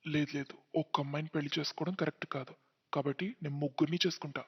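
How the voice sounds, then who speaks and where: A man playing a greedy, cunning young liar in a cartoon moral story, talking in a smooth, scheming manner. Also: on the high side, steady tone, very fast, big-room sound, lo-fi sound. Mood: scheming, smug. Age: young adult.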